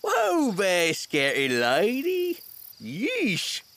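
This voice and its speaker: A man acts out a chatty, laid-back male selkie in a comic fantasy audio drama. The high voice swoops around with a creaky edge, slangy and skittish, over faint background noise. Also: wildly swooping pitch, very slow, a bit bright, heavily acted. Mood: casual, surprised. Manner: slangy, hesitant, says bruv. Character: laid-back, cowardly blue selkie dude, chatty and friendly.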